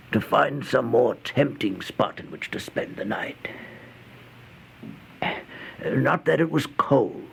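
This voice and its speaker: An actor playing an exhausted, starving homeless man who recounts a horror tale in a halting, breathy, theatrical old-time radio style, often dropping toward a whisper. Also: lowish voice, full character voice. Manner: halting, slow, theatrical, anguished. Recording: noisy old radio recording.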